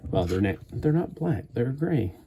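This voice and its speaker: A man's voice: mid-range voice, very animated, a little slow, warm tone, slight background noise. A man chatting at home as he builds a toy kit live, meandering and thinking aloud with frequent restarts. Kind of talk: rambling live-stream chat while building.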